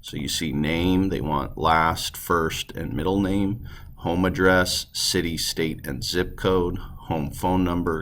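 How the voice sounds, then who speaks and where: Male classroom teacher, extremely deep and gravelly, casual explainer and reader. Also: a bit bright, slight background noise. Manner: informal, explanatory. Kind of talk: classroom read-aloud instruction.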